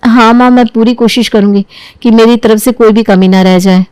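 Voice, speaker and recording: A woman's voice: on the high side, fairly even intonation, moderate pace, a little mellow, smooth, no room sound, natural voice. A woman voicing a dutiful young daughter-in-law in a family story, polite and deferential. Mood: polite, concerned. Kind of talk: acted dialogue in a Hindi family storybook tale.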